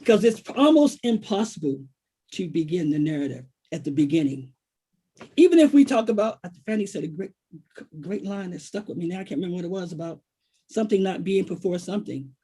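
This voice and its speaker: An American man, a writer, speaking reflectively and haltingly in a literary conversation, repeating phrases for emphasis. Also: high-pitched, lively intonation, dark tone, husky. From Washington, D.C., United States. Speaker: poet and writer, guest author.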